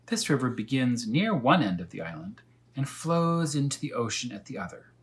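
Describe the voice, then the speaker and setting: A talkative female storybook cat read aloud by a man unfolds a tale for children. The delivery is earnest and unhurried, with very animated pitch that leans on key words. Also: lightly acted. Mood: earnest, explanatory.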